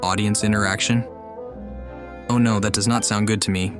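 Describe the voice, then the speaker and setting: A man's voice: lowish voice, fairly even intonation, moderate pace. A man casually reading game dialogue aloud as he streams a playthrough. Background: game music.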